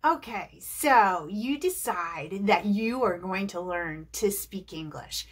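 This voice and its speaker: A woman's voice: medium pitch, expressive, takes it slowly, soft background music. An American woman from New Jersey, an English teacher who talks viewers through tips in a chatty, encouraging way. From New Jersey, United States. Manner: chatty, encouraging, asks rhetorical questions. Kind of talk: video lesson to camera.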